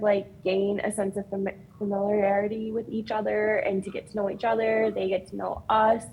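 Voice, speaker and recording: A woman's voice: mid-range voice, a little mellow, slight background noise, poor audio. A woman with a social studies teaching background, explaining plans at a meeting in a friendly, informal way. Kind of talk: casual presentation.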